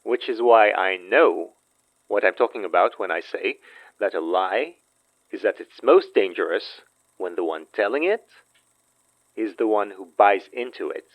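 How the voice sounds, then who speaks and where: A man acting in an audio drama as a shrewd, distrustful con man who speaks in a knowing, deliberate way. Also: on the low side, animated, highs cut off.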